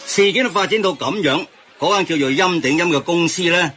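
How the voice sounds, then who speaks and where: A man's voice: a little high, fairly quick, sharp tone. A man with a Hong Kong accent acting a well-informed friend who patiently explains news in a radio drama. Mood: explanatory.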